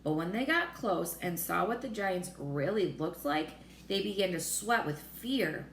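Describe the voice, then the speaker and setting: A woman reading a children's story aloud in an expressive narrating style. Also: fairly low pitch, a little slow. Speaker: storybook reader. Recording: roomy echo with faint noise.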